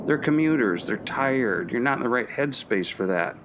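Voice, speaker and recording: An actor playing a gentle, thoughtful professor in a naturalistic radio play, calm and questioning. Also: male voice, medium pitch, moderate pace, background noise, natural voice.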